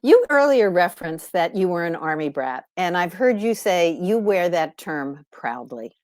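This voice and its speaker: A woman's voice: on the low side, big pitch swings, moderate pace, darkish tone, no room sound, studio quality. A woman hosting an interview, asking short encouraging follow-up questions. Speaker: podcast host.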